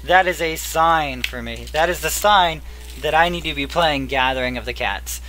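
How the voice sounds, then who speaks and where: A young man chatting casually while opening card packs, murmuring card names and getting excited now and then, his voice going hoarse. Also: a little high, normal speed, a little hiss or hum. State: losing his voice. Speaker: card pack opener. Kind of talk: casual pack-opening commentary. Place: small room at a table. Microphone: headset.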